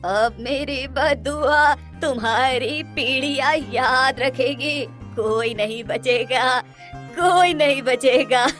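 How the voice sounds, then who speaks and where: A woman performs a bitter old witch from a cartoon, hurling curses. The words come very slowly and with menace, stretched out for dread, over faint music. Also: high-pitched, a bit bright. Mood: menacing, vengeful. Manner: slow, menacing, drawn-out pronouncements. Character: vengeful old village witch laying a curse. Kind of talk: melodramatic Hindi cartoon villain acting.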